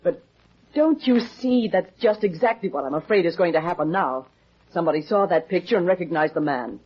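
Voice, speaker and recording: An anxious, evasive theater actress in an old detective serial. She speaks hesitantly and pleadingly, with a slightly husky edge, on a dull, muffled vintage recording. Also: fairly low pitch, animated, brisk. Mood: flustered, defensive. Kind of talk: 1950s radio drama acting. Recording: muffled 1950s radio recording.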